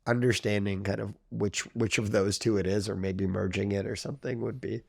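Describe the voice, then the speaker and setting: A man in his thirties with a laid-back Northern Californian accent, chatting loosely as an interview guest. His voice sits low, with a gravelly fry, and he fills his speech with "like" and "um." The sound is dry and close to the mic. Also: a little fast, no room sound. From Russia, raised in California. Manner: relaxed, rambling, heavy use of "like" and "um", laughs often. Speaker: podcast guest. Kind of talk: casual interview chat.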